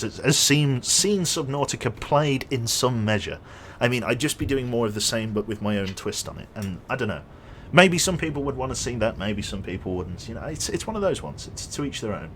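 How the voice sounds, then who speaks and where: A chatty young man rambles through a live gaming stream, talking to his viewers as he plays. His pitch moves a lot from line to line. A faint ambient noise sits under his voice. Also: a bit bright. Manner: rambling, chatty, reads chat aloud and jokes. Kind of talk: casual live-stream chat while gaming.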